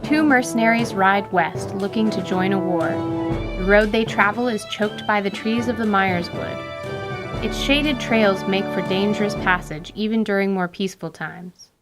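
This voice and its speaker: A woman narrating a fantasy radio drama with lively storytelling. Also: mid-range voice, measured pace, over loud music.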